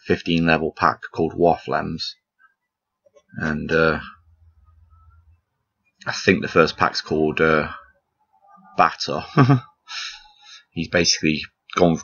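A man casually commentating on a puzzle game as he plays, rambling and poking fun at himself, his voice croaky today. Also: rumbling low, fairly bright tone. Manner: rambling, self-deprecating, chuckles often. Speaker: gameplay commentator. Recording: slightly dull lo-fi recording with game music behind.

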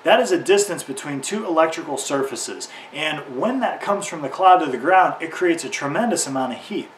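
A man's voice: a little high, expressive, moderate pace. A man in his thirties giving an informal how-to explanation to camera as an instructor, in plain, simple terms. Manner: explanatory, uses simple analogies. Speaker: welding instructor. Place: garage or workshop beside a tractor. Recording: roomy, distant mic, faint background noise.

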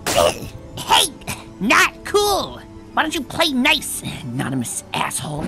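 A woman's voice: fairly high pitch, huge pitch swings, slow pace, gravelly, heavily acted. A woman voicing a brash, cocky young female cartoon pegasus in a comic dub, with a boastful, dramatic delivery. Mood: scared, defensive. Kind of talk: animated comic dub acting. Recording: voice over background music.

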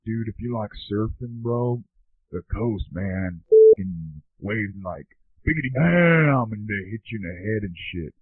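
A man's voice: on the low side, lively intonation, measured pace, slightly rough, no echo. A man telling slangy comic anecdotes like a surfer dude, recorded with thin telephone-like sound. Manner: surfer-dude drawl, constant bro and man. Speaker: comedy podcast performer. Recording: thin, tinny phone-like sound.

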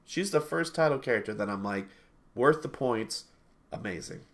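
A man's voice: mid-range voice, animated, moderate pace, fairly bright tone. A man in his thirties, sniffly from allergies, chatting casually over a headset on a video call from a small home room, rambling and correcting himself as he goes. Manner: rambling, self-correcting, laughs easily. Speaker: game reviewer co-host.

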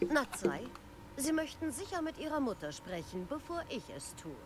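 A woman's voice: high voice, sing-song, normal speed. Stern, authoritative female officer. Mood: firm, admonishing. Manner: formal, admonishing, firm. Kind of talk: video game voice acting.

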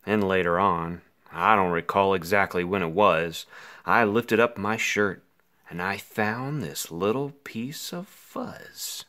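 A man reading a rhyming children's story aloud theatrically, with dramatic pauses, as an anxious, melodramatic five-year-old boy. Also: low voice, sharp tone. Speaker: picture book reader.

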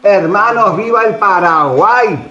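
An actor playing a cheerful villager who leads toasts at a village party in a radio drama. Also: male voice, high-pitched, slow pace, exaggerated voice. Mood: festive. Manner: hearty, shouting toasts. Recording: dull roomy sound.

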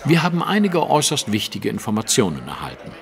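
An actor playing a shrewd, commanding detective in an audio drama, formal, precise and drily superior. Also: male voice, on the low side, huge pitch swings, quick pace, gravelly, heavily acted. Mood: brisk, superior. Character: shrewd, coolly polite, commanding male detective.

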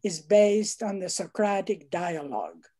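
An older woman who grew up in Greece speaks earnestly on a video call, her voice warm and mellow. She pushes her points with rising emphasis, heard through a headset from a book-lined home study. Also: medium pitch, expressive, a little slow. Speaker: psychiatrist commenting in Q&A. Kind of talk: online seminar comment.

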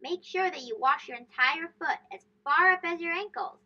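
A woman's voice: very high-pitched, moderate pace, sharp tone, pitched above the performer's own voice, exaggerated voice. A woman voicing a boy who is a patient but firm older brother in a storybook, instructive and emphatic. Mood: encouraging. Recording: dull lo-fi sound.

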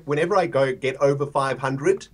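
A man's voice: medium pitch, moderate pace. A man from South Africa with a mixed South African and English accent, chatting casually as a livestreamer in slangy, laid-back language.

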